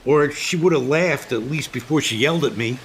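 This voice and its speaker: An actor playing a joking, stubborn middle-aged American dad in an audio comedy, needling and theatrically aggrieved. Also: male voice, on the high side, brisk, lightly acted, low-quality recording. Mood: hurt, defensive. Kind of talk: comedic audio drama acting. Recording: faint background hiss.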